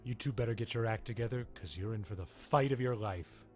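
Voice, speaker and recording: An actor playing a combative, sarcastic hotshot male lawyer in a scripted soap drama, forceful and sardonic. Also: on the low side, a little fast, faint music behind, lightly acted. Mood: sardonic, defiant.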